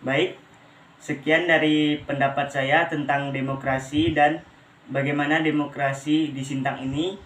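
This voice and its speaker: A young man speaks haltingly in a slightly high voice, giving his opinion. The room echoes and there is faint noise. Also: flat delivery, fairly bright tone. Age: young adult. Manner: hesitant, halting. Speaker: student interviewee. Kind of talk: spoken opinion to camera.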